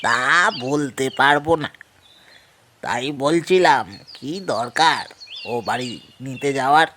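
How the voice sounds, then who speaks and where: An actor playing a grave local man, recounting a haunted house's history in a cautionary, deliberate way for an audio horror story. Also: mid-range voice, pushed and bright, a little hiss or hum. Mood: grave, warning.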